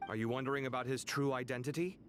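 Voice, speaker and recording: A man's voice: mid-range voice, fairly even intonation, fast talker, slight background noise, natural voice. A man playing a friendly, earnest young companion in a video game, affable and eager in manner.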